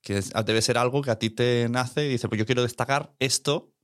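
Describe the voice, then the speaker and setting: A man's voice: medium pitch, brisk, fairly bright tone, no room sound, clean studio sound. A man hosting a podcast from Catalonia, chatting casually with his guest and teasing her with jokes and laughter. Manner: jokey, teasing, laughs often. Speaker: podcast host. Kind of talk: casual interview chat. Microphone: Rode studio microphone.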